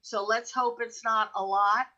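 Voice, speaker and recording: A woman with an American accent co-hosts a casual chat. Her voice is bright and a bit high. She tells stories in a candid, colloquial, chatty way. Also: fairly even intonation, a little slow, lo-fi sound. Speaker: show co-host.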